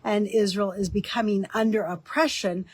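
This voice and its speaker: A woman with a slightly nasal, stuffy voice from a cold, explaining biblical history in a relaxed teaching talk with frequent ums. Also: mid-range voice, moderate pace, husky, no room sound. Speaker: author and teaching host.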